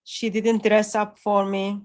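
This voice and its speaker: A woman's voice: medium pitch, flat delivery, takes it slowly, a little mellow. A woman co-hosting a live stream in casual chat. She teases and jokes with a dry, playful manner. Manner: teasing and playful, dry humor.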